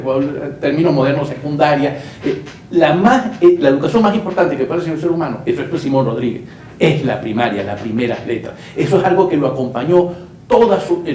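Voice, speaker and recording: A man, a guest lawyer and writer, telling history in an unhurried way and often repeating words as he searches for them. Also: fairly high pitch, dark tone. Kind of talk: conversational storytelling. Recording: roomy, distant mic with faint noise.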